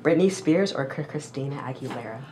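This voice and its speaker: A teenager asking casual street-interview questions in a halting way, stumbling over words. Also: mid-range voice, animated, background noise. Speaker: student interviewer, host. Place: indoor school offices and hallways.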